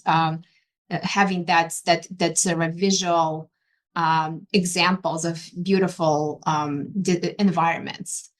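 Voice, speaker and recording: A woman from Ukraine, an interior designer and artist, talking in an interview with frequent pauses, fillers and restarts. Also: lowish voice. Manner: hesitant, many fillers and restarts. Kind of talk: conversational interview.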